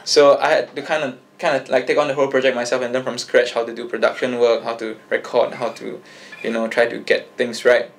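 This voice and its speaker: A young man talks casually as an interview guest. His voice sits at an ordinary middle pitch, and he speaks in an easygoing, hesitant way, full of fillers and restarts. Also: little pitch movement, fairly quick. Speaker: singer-songwriter guest.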